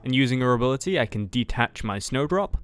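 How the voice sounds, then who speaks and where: A man's voice: medium pitch, lively intonation, fast talker, a bit bright. A man talking casually through his moves as a player in a roleplay card duel. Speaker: card game duelist player.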